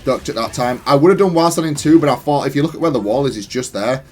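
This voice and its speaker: A man's voice: medium pitch, fast. A man giving casual, analytical gameplay commentary in a matter-of-fact, self-critical way. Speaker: gaming content creator.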